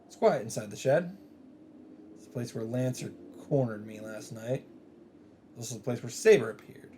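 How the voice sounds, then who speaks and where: Casual mellow-voiced man doing let's play commentary. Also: medium pitch, lively intonation, normal speed, slight background noise. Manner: casual, swearing, reacting aloud. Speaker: let's player. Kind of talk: let's play commentary and reading of game text. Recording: music playing behind. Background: game music.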